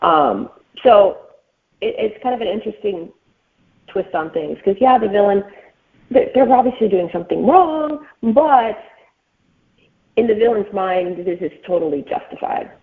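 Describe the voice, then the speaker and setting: A woman author chats as an interview guest over a thin, phone-like connection. Her pitch is mid-range, and she explains things informally and conversationally. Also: animated, a little slow, long pauses. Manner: informal explaining with frequent "you know". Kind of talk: casual interview chat. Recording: thin band-limited call sound.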